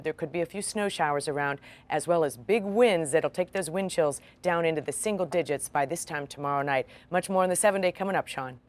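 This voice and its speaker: A woman with an American accent giving a conversational weather forecast on television. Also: on the low side.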